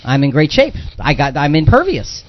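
A man's voice: on the high side, huge pitch swings, normal speed, no echo. A man hosting a conversational Bible discussion on the radio, emphatic and circling back over phrases as he builds a point. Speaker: radio show host. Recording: slightly dull, band-limited radio sound.

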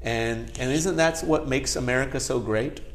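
A man's voice: mid-range voice, normal speed, a little hiss or hum. Middle-aged man born in India, Gujarati native speaker; chatty interview guest, keeps tossing in "you know". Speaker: guest, company founder. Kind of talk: casual interview conversation.

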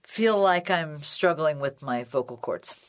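Slow-talking chatty woman host. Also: low-pitched, animated. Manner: chatty, laughs easily. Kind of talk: casual chat.

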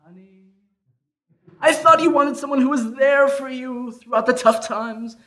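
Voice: high voice, measured pace, fairly bright tone. An actor playing a clingy discount-deal service as a boyfriend, with theatrical, pleading sales patter in a radio-style comedy sketch. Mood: hurt, pleading. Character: Groupon, clingy salesman boyfriend turning gleefully villainous. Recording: live, unedited stage performance.

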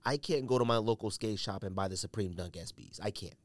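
A man's voice: fairly low pitch, brisk, dry recording. A man hosting a casual solo podcast, thinking aloud and often qualifying his own points as he goes. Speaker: sneaker podcast host.

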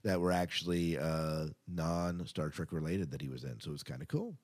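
A man's voice: sits low, lively intonation, moderate pace. A man hosting a podcast in a chatty, casual way, part scripted and part off the cuff, with upbeat announcing. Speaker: podcast host.

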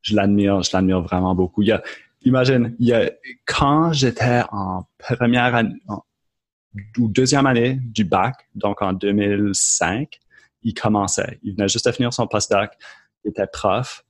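A man's voice: fairly low pitch, normal speed. A man in his thirties from New Brunswick with an Acadian French accent, speaking as a podcast guest in a casual, earnest way with many restarts. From Moncton, New Brunswick, Canada. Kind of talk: casual conversational interview.

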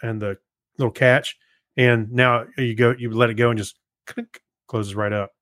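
Male podcast co-host, average pitch, casual and joking, very dry close recording. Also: steady tone, normal speed, a bit bright, no echo. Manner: conversational, joking. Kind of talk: casual chat.

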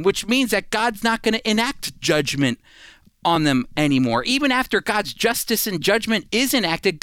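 A man's voice: fairly high pitch, fairly bright tone, clear, resonant tone, no room sound, studio quality. A man teaching the Bible on a radio program, emphatic, asking his listeners rhetorical questions.

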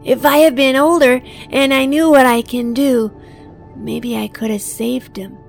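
A woman's voice: high-pitched, steady tone, unhurried, vocal fry, faint music behind. A woman playing an earnest, innocent seven-year-old boy in an audio drama. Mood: earnest, matter-of-fact. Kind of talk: audio drama acting and first-person narration.

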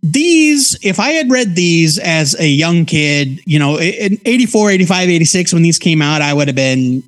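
A man's voice: a little high, big pitch swings, normal speed. An American man in his forties giving casual, conversational commentary as a podcast co-host. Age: 40s. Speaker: podcast co-host reviewing comics.

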